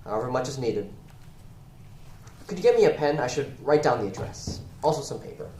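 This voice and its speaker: Man playing smooth shady crime boss, echoey stage. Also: medium pitch, big pitch swings, brisk, warm tone, close to an everyday voice. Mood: businesslike, secretive. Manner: coaxing, evasive, rhetorical. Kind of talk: stage acting in a one-act play. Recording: echoey room, clear background noise.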